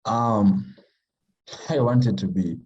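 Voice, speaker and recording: A man from Kenya, a writer talking casually as a podcast guest in a halting, thoughtful way full of fillers. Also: lowish voice, a little mellow, muffled recording. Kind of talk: casual conversation.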